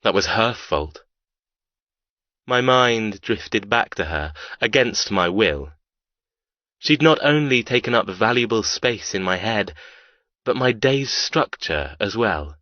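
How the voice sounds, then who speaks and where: A very low, forceful male voice , an actor as a cold, menacing criminal heir telling his own dark story. He is brooding and arrogant, and speaks in deliberate phrases. The sound is dry, close and slightly dull. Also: expressive, no echo, heavily acted. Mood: brooding, contemptuous. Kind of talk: first-person audiobook narration of dark romance.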